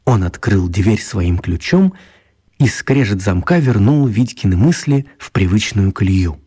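Theatrical male storyteller, slightly husky. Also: fairly low pitch, expressive, mellow. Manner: theatrical, dramatic emphasis with drawn-out words. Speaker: narrator. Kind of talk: expressive storytelling narration for children's radio drama.

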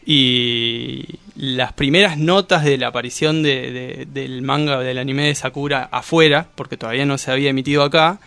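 An Argentine man in a casual radio discussion, explaining things in a halting way, correcting himself and often checking that he is followed. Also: mid-range voice, steady tone, vocal fry, bright, forceful tone, no room sound. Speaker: guest discussing comics and manga.

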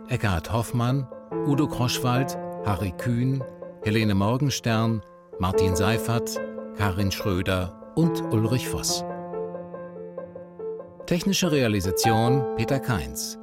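A man reading formal radio play credits in a measured, deliberate way. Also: fairly low pitch, lively intonation. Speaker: announcer. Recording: loud music under the voice.

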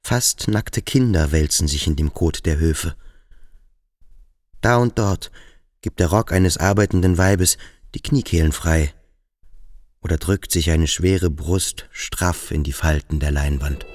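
Yearning, introspective schoolboy a deep voice, radio drama, close dry sound. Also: male voice, unhurried, darkish tone, dry recording. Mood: brooding, fascinated. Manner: introspective, literary. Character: melancholy, yearning schoolboy lost in his thoughts. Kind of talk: radio drama acting, interior monologue.

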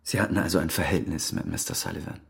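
An actor playing a formal, probing police detective questioning suspects in a radio crime drama, with a husky, gravelly voice. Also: male voice, deep voice, steady tone, quick pace, a bit bright, exaggerated voice. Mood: insistent.

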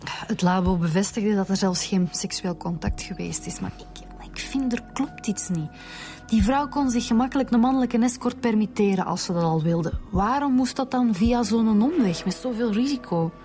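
A woman's voice: mid-range voice, normal speed, mellow, soft background music, natural voice. A woman with a Flemish accent playing a dry, sceptical police detective in a radio drama. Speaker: actor in a radio detective serial.

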